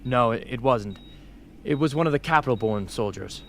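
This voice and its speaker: A man's voice: mid-range voice, expressive, moderate pace, close to an everyday voice. A man playing a hardened, argumentative young rebel soldier in an amateur radio drama, insistent and matter-of-fact. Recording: noisy amateur radio play recording.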